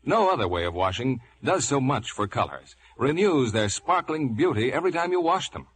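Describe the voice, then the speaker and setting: Animated male vintage radio announcer. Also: normal speed. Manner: polished, emphatic sales pitch. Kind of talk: 1950s radio ad delivery.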